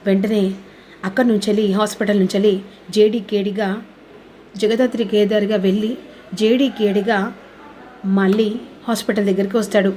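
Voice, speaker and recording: A woman's voice: medium pitch, flat delivery, normal speed, pauses often, dark tone, a little hiss or hum, low-quality recording. A woman narrates an informal serial recap, linking events with repetitive connecting phrases. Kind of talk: informal storytelling recap with acted dialogue.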